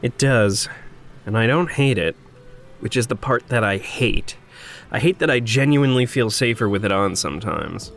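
An actor playing an earnest, awkward young man in an audio drama, speaking politely and haltingly. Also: fairly low pitch, animated, vocal fry, a bit bright, faint music behind. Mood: uneasy, sincere. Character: earnest, awkward, anxious young male magic user. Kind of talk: naturalistic audio drama acting.

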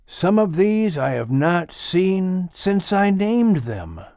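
A man voicing a very old, patient great-grandfather who speaks slowly and solemnly in an audiobook story. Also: high voice, very animated, dark tone, no echo, studio quality, voice pushed up, exaggerated voice. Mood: thoughtful. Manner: slow, deliberate, solemn.

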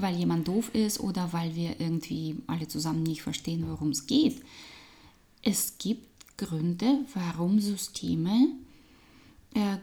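A woman from Russia with a Russian accent, a business coach talking as a podcast guest, thoughtful and soft-spoken, sometimes dropping to near-whispers. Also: fairly low pitch. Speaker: business trainer, change consultant and coach.

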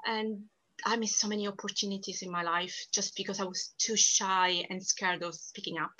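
A woman's voice: medium pitch, fairly even intonation, moderate pace, pushed and bright, low-quality recording. A woman from Sicily with a strong Italian accent, talking earnestly and emphatically as a podcast guest, often restarting her sentences. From Sicily, Italy. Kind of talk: casual interview talk.

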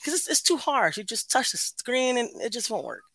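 A woman with a deep voice, chatting casually as a podcast guest, laid-back and rambling, swearing easily. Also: very animated, fairly quick, bright, forceful tone, no room sound, rough recording.

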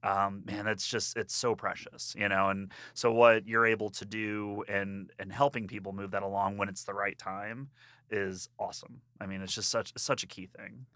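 A man's voice: lowish voice, level tone, normal speed, bright, forceful tone, no room sound. A man hosting a casual podcast, chatty and given to playful asides.

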